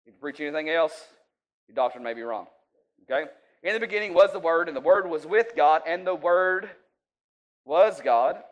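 A man's voice: fairly high pitch, lively intonation, moderate pace, pauses often. A man preaching an informal sermon in a folksy, conversational way, checking in with his listeners often. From rural US.

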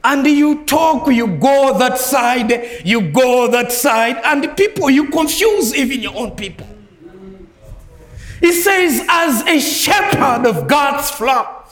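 A man from Malawi with a Malawian accent preaching a sermon as a guest preacher, swinging between soft low phrases and raised ringing lines. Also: lively intonation, takes it slowly, reverberant, soft background music. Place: church.

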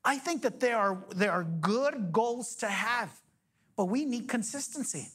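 A man in his forties preaching a sermon as pastor, energetic, joking and firing off rhetorical questions. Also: high-pitched, a little slow, husky. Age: 40s.

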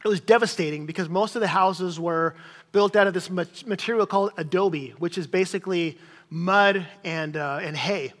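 High-voiced middle-aged male pastor in a reverberant hall. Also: normal speed, fairly bright tone. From grew up in Chile as a missionary kid. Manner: conversational and emphatic, repeats phrases for emphasis. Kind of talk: sermon.

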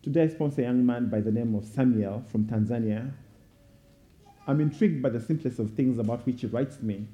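A man in his thirties with a Ugandan accent, telling a prepared personal story to a congregation in a deliberate, oratorical way. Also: medium pitch, dark tone. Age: 30s. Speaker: guest speaker at a church. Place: speaking before a live congregation. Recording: dull, muffled sound.

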